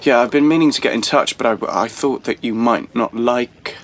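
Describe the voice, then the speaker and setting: A man's voice: mid-range voice, moderate pace, a little hiss or hum, close to an everyday voice. An actor playing a nervous, hesitant young British man in an audio play, stumbling over his words. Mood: awkward, eager. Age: young adult. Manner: hesitant, stammering, self-interrupting. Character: awkward, nervous young man, eager and self-reproaching. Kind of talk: dramatic monologue and dialogue, audio play.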